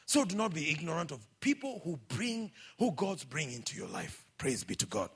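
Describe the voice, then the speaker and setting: A middle-aged man with a Kenyan accent, preaching a sermon in a lively, exhorting way and calling out for amens. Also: a little high, moderate pace, gravelly, slightly rough. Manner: lively, exhorting, calls out for amens, quotes scripture.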